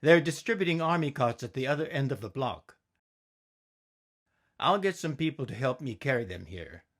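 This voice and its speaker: Man playing a calm, practical young father. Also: medium pitch, normal speed, natural voice.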